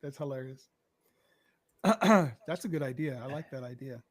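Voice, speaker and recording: A man co-hosting a casual podcast, loud, with quick exclamations and swearing. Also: medium pitch, no echo. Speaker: podcast co-host. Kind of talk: casual chat.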